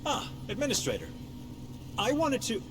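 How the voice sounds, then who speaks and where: An actor playing a genteel, slyly scheming scientist with an arch, formal, erudite manner in video game dialogue. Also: male voice, very high-pitched, wildly swooping pitch, moderate pace, in a large hall, background noise, exaggerated voice. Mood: droll, ingratiating.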